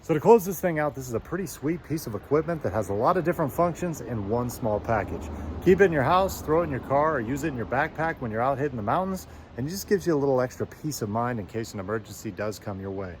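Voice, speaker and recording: A man's voice: medium pitch, expressive, quick pace, slight background noise. A man giving a casual product review to camera as host, with a folksy, slangy way of talking and playful catchphrases.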